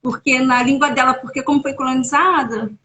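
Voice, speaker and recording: A Brazilian woman with a high, bright-pitched voice talks about her school workshops on a live video call. Her delivery is emphatic and encouraging, and it climbs higher whenever she presses a point. The faint hum of a room sits behind her. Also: steady tone, a little fast. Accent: Brazilian Portuguese. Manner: emphatic, encouraging, rising when making a point. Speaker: educator running school workshops. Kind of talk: casual conversation on a live stream. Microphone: phone or laptop on a live video call.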